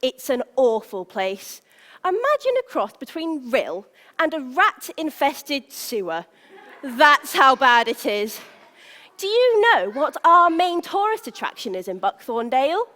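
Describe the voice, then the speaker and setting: In a big echoing theatre, a chirpy young prince played by a woman addresses the crowd in a high voice. The delivery is grand and unhurried, with dramatic pauses, full of naive, lovestruck self-importance in true live pantomime style. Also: big pitch swings, sharp tone, heavily acted. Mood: comically dismissive. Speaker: stage actor. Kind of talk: live pantomime monologue to the audience. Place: large indoor theatre stage. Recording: reverberant theatre hall, live stage recording.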